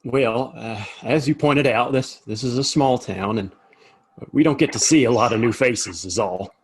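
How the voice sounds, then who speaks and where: An actor playing a nervous, deferential small-town barkeep in a Western audio play, with a hesitant, stammering delivery and a husky voice. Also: male voice, mid-range voice, moderate pace. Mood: nervous, evasive.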